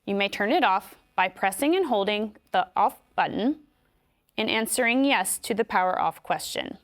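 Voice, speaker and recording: A woman with an American accent giving scripted instructions in a clear, measured way. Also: mid-range voice, expressive. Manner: clear, measured explanatory delivery. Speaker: instructional video narrator.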